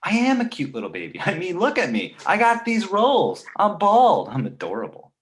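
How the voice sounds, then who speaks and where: A man voicing a precocious, manipulative baby boy with a smart-alecky comic delivery in an animated comedy read. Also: high-pitched, moderate pace. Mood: cheeky, pleading. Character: precocious, wisecracking, manipulative two-month-old baby boy.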